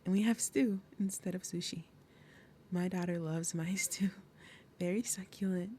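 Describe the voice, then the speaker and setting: A woman voicing a big, possessive demon mother in an ASMR roleplay, falsely sweet and threatening, speaking deliberately and dropping into breathy murmurs. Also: fairly low pitch, steady tone, dark tone, slight background noise, close to an everyday voice. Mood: coolly threatening. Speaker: roleplay audio performer. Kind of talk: ASMR roleplay, acted monologue.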